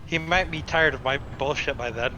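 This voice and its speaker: A man's voice: mid-range voice, moderate pace, noisy background. A man bantering casually over voice chat as a gaming co-player, with a joking, self-deprecating manner.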